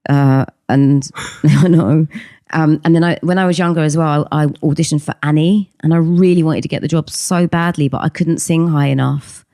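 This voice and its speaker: A woman's voice: low voice, fairly even intonation, moderate pace, warm tone, no room sound, studio quality. A middle-aged woman, chatting as a podcast guest, hesitant with many ums. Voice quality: husky voice. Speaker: podcast guest, actress and singer. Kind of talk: casual interview chat.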